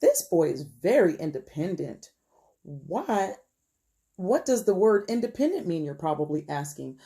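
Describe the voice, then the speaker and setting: A woman teacher reads a children's picture book aloud at a slow, careful pace. Her voice is mellow and swings widely in pitch, with a gentle storytelling manner that invites young listeners in. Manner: expressive, gentle storytelling for young children, often dropping to a hush. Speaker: elementary school teacher reading aloud. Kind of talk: picture book read-aloud with questions to pupils.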